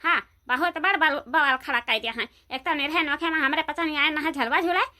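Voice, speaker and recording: A woman's voice: sits high, normal speed, pushed and bright. A woman voicing an outspoken, disapproving village neighbour with an Awadhi accent in a comedy cartoon, chiding others. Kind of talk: Hindi dialect comedy cartoon dialogue.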